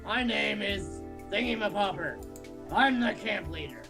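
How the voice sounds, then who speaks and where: A man's voice: high voice, expressive, measured pace, gravelly, heavily acted. Guy playing a bossy camp leader lady in her 20s, mock formal game dub, music behind. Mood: brisk, authoritative. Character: bossy twenty-five-year-old female camp leader. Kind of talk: comic visual novel dub.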